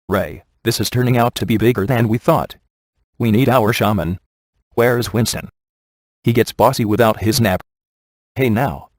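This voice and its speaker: A sarcastic, laid-back ghost hunter from a video game. His voice sits low and is recorded close and dry. He talks in glib wisecracks with a sardonic edge. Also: no echo. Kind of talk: scripted video game character dialogue.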